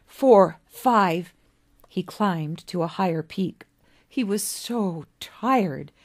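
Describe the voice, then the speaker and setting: A woman reading literary fiction aloud as an author would, with an expressive, dramatic storybook delivery and deliberate pauses. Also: medium pitch. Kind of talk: expressive scripted story narration.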